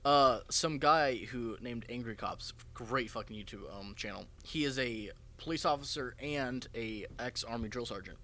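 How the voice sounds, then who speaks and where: A young American man with a bright, forceful voice talks bluntly in a casual podcast chat. He swears freely, and there is some clear noise behind him. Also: medium pitch, moderate pace, few pauses. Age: young adult. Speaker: podcast co-host.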